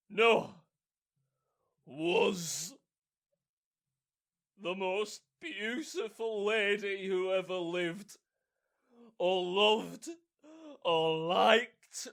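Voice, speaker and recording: An actor playing a hammy amateur who declaims as a tragic lover, overwrought and melodramatic, in a comic audio drama. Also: male voice, high-pitched, pushed and bright, clean tone, exaggerated voice. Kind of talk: modernized Shakespeare audio drama comedy.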